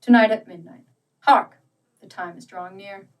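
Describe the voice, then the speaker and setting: A woman's voice: medium pitch, normal speed, distant mic, close to an everyday voice. A woman voicing a solemn, aging male Christmas ghost in a storybook reading, with grave and dramatic warnings. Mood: grave, sorrowful.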